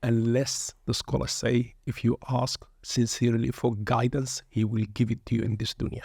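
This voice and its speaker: Male shaykh, gravelly and level, preacherly podcast guest on a dry mic. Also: medium pitch, little pitch movement, normal speed, a little mellow, no echo. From born in England, raised in Sudan. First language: Arabic. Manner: earnest, preacherly, peppered with Arabic phrases. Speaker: guest shaykh, pilgrimage group leader. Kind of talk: reflective religious podcast talk.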